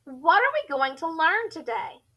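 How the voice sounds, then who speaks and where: Anxious little storybook schoolgirl, voiced by a woman: high, bright, earnest and plaintive. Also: very animated, a little slow, highs cut off, exaggerated voice. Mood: anxious, questioning. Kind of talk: children's storybook character voice.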